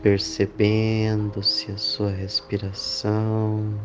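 A man leading a guided meditation, speaking in deliberate phrases with long pauses. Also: on the low side, monotone, highs cut off, noisy background. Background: clear background music.

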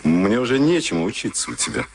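Menacing, smug low-voiced Nazi officer. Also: male voice, wildly swooping pitch, fast. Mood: coldly smug. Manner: taunting, menacing, mock-polite. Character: sadistic, smug SS camp officer and boxing enthusiast. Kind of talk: Soviet radio play acting. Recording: noisy archival radio drama recording.

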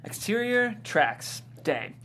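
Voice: medium pitch, sing-song, slow pace, fairly bright tone, faint music behind. A narrator reading screenplay stage directions at a table read in a matter-of-fact way. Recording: faint background hum.

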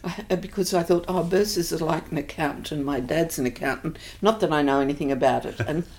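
An older woman with an Australian accent, thoughtfully recalling her life in an interview, pausing often. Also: low-pitched, a little mellow. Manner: reflective, hesitant with many fillers. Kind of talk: oral history interview.

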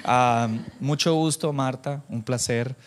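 A man preaching informally to a church congregation, animated and self-deprecating, bantering with listeners and dropping in English phrases. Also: medium pitch, slow, a little hiss or hum. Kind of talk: informal conversational sermon with anecdotes.

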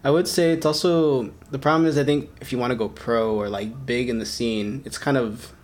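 A man chatting on a podcast, pausing to search for words and swearing casually. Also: medium pitch, fairly even intonation, a little mellow. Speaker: podcast co-host. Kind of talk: casual chat.

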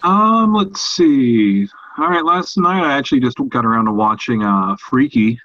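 A man's voice: mid-range voice, expressive, measured pace, fairly bright tone, dry recording. A man co-hosting a podcast, chatting casually about films. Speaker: podcast co-host. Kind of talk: casual film chat. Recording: dull, lo-fi audio.

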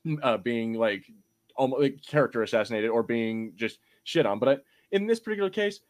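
A man with a medium-pitched voice in casual podcast talk. He hosts in a measured, conciliatory manner, pausing and rephrasing as he makes his point. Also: expressive. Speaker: podcast host.

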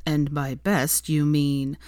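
A woman's voice: deep voice, flat delivery, slow, dark tone, clean tone, no echo, studio quality. A woman voicing a haughty, dryly possessive young man with a drawling manner, in an audiobook-style story reading. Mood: dry, grudging.

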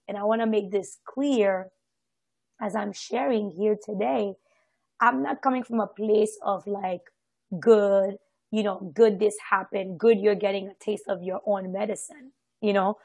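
A woman from Haiti giving a casual, reflective personal account, halting and often circling back to correct herself. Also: medium pitch, breathy edge, no echo. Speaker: participant sharing personal experience in a group discussion.

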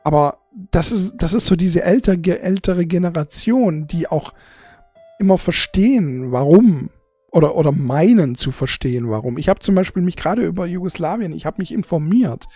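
A forty-year-old speaking off the cuff to an audience in a husky, gravelly voice, halting and repeating words, emotional and blunt with casual swearing. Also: medium pitch, animated, warm tone, no room sound, soft background music. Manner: halting, repetitive, searching for words. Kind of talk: unscripted casual monologue to an audience.

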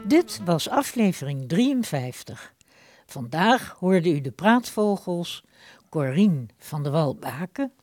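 A woman's voice: fairly low pitch, a little mellow, dry recording, studio quality. A woman announcer reading scripted closing credits for a radio programme.

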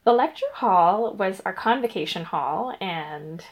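A woman's voice: medium pitch, animated, a little slow. A young woman from Guyana, a graduate researcher, talking casually in an interview, with lots of ums and laughing as she tells her story. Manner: chatty, frequent ums, laughs through stories.

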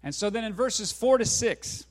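A man's voice: high voice, animated, normal speed. A man pastor preaching a sermon in a conversational way, speaking straight to the congregation. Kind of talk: sermon with live interpreter.

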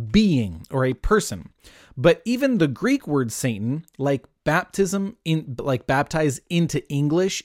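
A young adult man explains a Bible passage in a relaxed, chatty way, stopping for side notes. His voice sits in a middle pitch, and the recording is dry and close with no room echo. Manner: chatty, with many asides. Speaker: Bible teacher. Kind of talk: casual Bible teaching.

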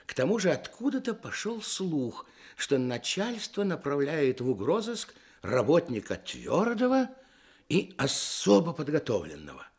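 Lively, wry male storyteller. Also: fairly high pitch, husky, exaggerated voice. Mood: wry, ironic. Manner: measured, ironic. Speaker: narrator. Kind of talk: expressive scripted radio play narration.

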